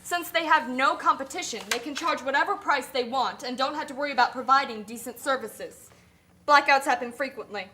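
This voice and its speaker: A woman's voice: high-pitched, brisk, noisy background, lightly acted. A woman playing a struggling, outspoken Nicaraguan working mother in a scripted puppet show. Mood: indignant.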